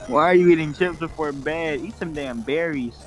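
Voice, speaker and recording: A man's voice: fairly high pitch, moderate pace, rough recording. A young man casually teasing his friends in a group chat. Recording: music clearly behind the voice. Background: music.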